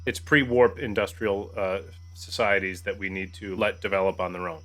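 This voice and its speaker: A man playing a tabletop game, planning aloud in a casual, halting way. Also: low voice, steady tone, fairly bright tone.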